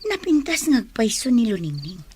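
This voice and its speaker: A woman's voice: fairly high pitch, wildly swooping pitch, moderate pace, background noise. A woman with an Ilocano Filipino accent playing a scheming, commanding elder sister in a radio drama. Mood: hushed, scheming.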